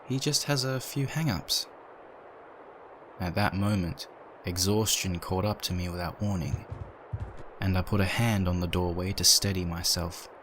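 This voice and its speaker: A man's voice: sits low, unhurried. A man reading a scripted story as narrator, in the manner of a dramatic audiobook. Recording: steady ambient noise behind.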